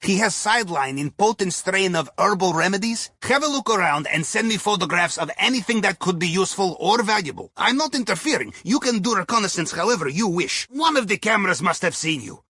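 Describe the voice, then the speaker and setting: A sly Russian ex-navy submariner guiding a crew through heists in a video game. His voice is high for a man and husky, swinging widely in pitch. He talks in a wry, conspiratorial way with a Russian accent. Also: big pitch swings, brisk, hardly any pauses, pushed and bright, dry recording, full character voice. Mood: wry briefing. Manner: wry, conspiratorial, with foreign phrasing. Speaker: mission guide over the radio.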